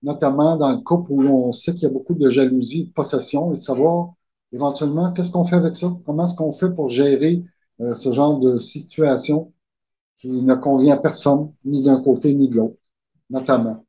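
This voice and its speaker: Slow, measured Québécois man, dark-toned. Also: mid-range voice. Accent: Québécois French. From Quebec, Canada. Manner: slow, measured, deliberate delivery. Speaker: guest author. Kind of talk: conversational interview.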